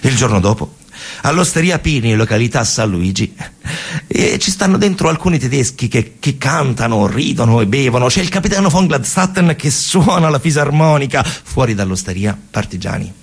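A man's voice: mid-range voice, sing-song, normal speed, husky. A man with a central Italian accent from Lazio delivers a dramatic narrative theatre monologue, colloquial and emphatic, repeating phrases for effect. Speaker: storyteller actor in a radio theatre monologue.

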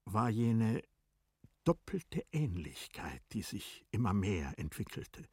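A man narrating a literary radio drama in a measured, composed manner. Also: lowish voice, very animated, darkish tone, breathy edge, no room sound, clean studio sound.

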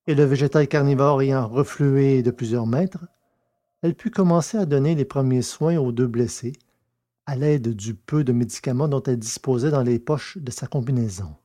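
Male audiobook narrator, deliberate and measured, warm dark tone. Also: mid-range voice. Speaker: narrator and producer.

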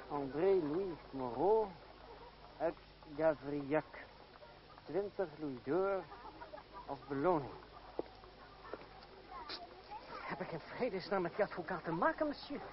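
An actor playing a proud young man with a sharp tongue in an old radio play, dryly ironic and formally polite. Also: a little high, expressive, measured pace. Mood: guarded. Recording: dull, noisy old radio recording.

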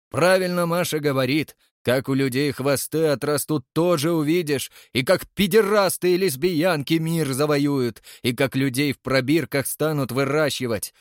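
A man voicing a brisk, spiteful male character who mocks and goads with energy. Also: a little high, dry recording, studio quality, voice pushed up, close to an everyday voice. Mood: gleeful spite. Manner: taunting, energetic. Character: brisk, spiteful, mocking man goading a friend.

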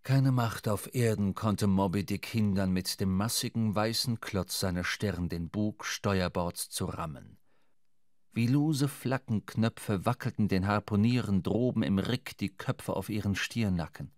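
An actor playing a sombre sailor who narrates a shipwreck in a measured, dramatic literary radio play. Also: male voice, on the low side, a little mellow, no echo, studio quality, close to an everyday voice. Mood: grave, dramatic.

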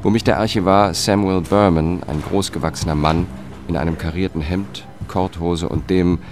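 A man's voice: deep voice, fairly even intonation, normal speed, a little mellow, a little hiss or hum, natural voice. An actor playing a dry, dogged investigator who narrates his case soberly in a German crime radio drama. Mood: sober, probing. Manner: sober, factual, short sentences. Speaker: protagonist and first-person narrator. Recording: music behind the voice.

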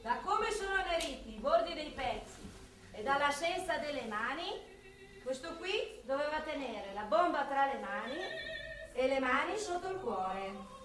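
A woman's voice: sits high, very animated, unhurried, over music. An actress playing a brusque, sardonic woman forensic police expert in a theatrical radio drama. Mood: brisk, insistent. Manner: exasperated, sardonic, theatrical, talking at unheard colleagues. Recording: roomy, distant microphone, faint noise.

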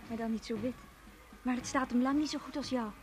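An actress playing a gentle, withdrawn, curious young woman, speaking simply and intimately in a radio play. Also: a little high, steady tone, brisk, close to an everyday voice. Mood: admiring, shy. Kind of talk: Dutch radio play acting.

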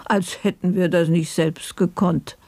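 A woman's voice: on the low side, steady tone, unhurried, darkish tone, no room sound. An actress playing a dry, disapproving woman who reports on a meeting in a radio play.

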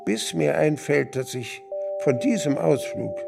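Gravelly, pensive ageing man. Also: on the high side, expressive, a little slow, music in the background, heavily acted. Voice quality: gravelly, husky. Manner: reflective, understated. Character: pensive, ageing man musing on dreams and death. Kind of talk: radio play monologue.

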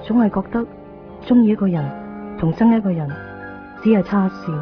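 A woman's voice: mid-range voice, brisk, close to an everyday voice. A young woman with a Hong Kong Cantonese accent acting a torn, guilty lover in a radio drama, speaking in a subdued, hesitant way. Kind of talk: radio drama dialogue and inner monologue. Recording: dull radio-drama recording, music behind.

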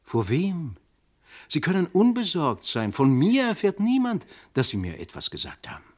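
An actor playing a shrewd, insistent police commissioner who questions with pressing authority in a German radio crime drama. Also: male voice, on the high side, wildly swooping pitch, moderate pace. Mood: probing.

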